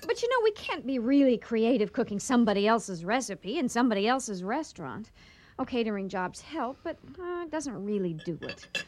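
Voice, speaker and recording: A woman's voice: a little high, big pitch swings, moderate pace. An actress playing a loving but nagging, ambitious woman cook in a radio comedy-drama. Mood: wistful. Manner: earnest, fretting.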